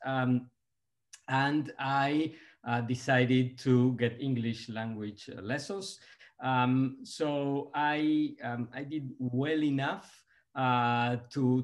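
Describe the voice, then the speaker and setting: A middle-aged man from Argentina, speaking English with an Argentine Spanish accent as a guest author on a video call, hesitant with many pauses and restarts. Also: mid-range voice. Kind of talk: conversational book talk on video call. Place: office with bookshelves, indoors. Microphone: video call webcam.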